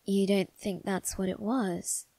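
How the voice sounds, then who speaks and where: A woman voicing a doting, secretive young male vampire aristocrat in a soft, intimate ASMR roleplay, with refined, coaxing phrasing. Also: mid-range voice, fairly even intonation, dry recording. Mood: gently soothing. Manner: coaxing, gentle, unhurried, refined phrasing with tag questions. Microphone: close, dry microphone.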